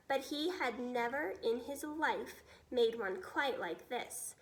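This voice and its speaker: A woman reading a children's story aloud in an expressive teacher's storytelling style. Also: a little high.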